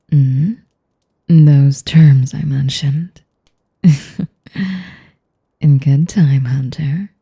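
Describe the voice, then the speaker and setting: A woman playing a sadistic, seductive vampire noblewoman in an intimate audio roleplay, breathy and whispery, formal and teasing in manner. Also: rumbling low, warm tone, no room sound, studio quality, exaggerated voice. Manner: slow, formal, teasing, savoring each phrase.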